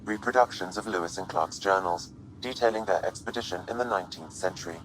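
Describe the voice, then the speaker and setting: An actor voicing a calm, literal-minded artificial intelligence in a video game, speaking formally with deadpan precision. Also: male voice, low-pitched, quick pace, slight background noise, poor audio. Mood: calm, factual.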